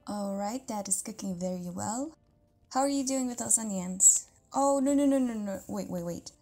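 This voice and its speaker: A woman playing a caring, tender partner in an intimate audio roleplay, talking casually in a soft, hesitant way with breathy near-whispers. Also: mid-range voice, moderate pace, natural voice. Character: caring, tender live-in partner of an amnesiac lover.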